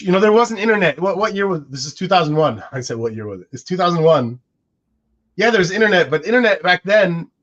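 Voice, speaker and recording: Man in his forties, Arabic native speaker, slightly high voice, musing, restarts often, dull lo-fi call audio. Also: a bit bright. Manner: reflective, musing, with frequent restarts. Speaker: co-host. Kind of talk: casual podcast conversation. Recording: dull lo-fi sound.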